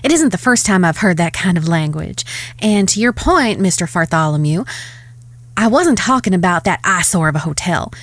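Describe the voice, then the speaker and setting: A woman playing a proud, sharp-tongued ranch woman in a western audio drama, composed and stern. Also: lowish voice, animated, moderate pace, no room sound. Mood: grave, scornful.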